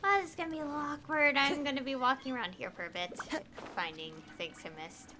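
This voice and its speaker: A woman game streamer chatting casually on a livestream, rambling and telling stories in halting fragments. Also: high voice, background noise.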